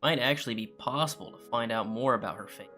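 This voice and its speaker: Guy streaming a game, casual reactions, bright punchy voice, faint music under him. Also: mid-range voice, quick pace. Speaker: gaming streamer. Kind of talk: casual let's play commentary.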